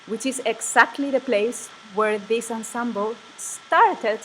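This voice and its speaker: Higher-voiced Spanish woman presenting. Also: takes it slowly, slight background noise, rough recording. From Spain. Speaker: ensemble member presenting a livestream. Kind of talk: live presentation to an online audience. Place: room at a music conservatory.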